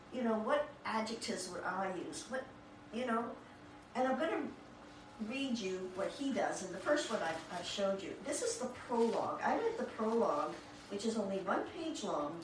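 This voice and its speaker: A woman talking informally to a group and reading book passages aloud, with halting, admiring asides. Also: a little high. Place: echoey room with a small group present. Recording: echoey room, distant mic, clear background noise.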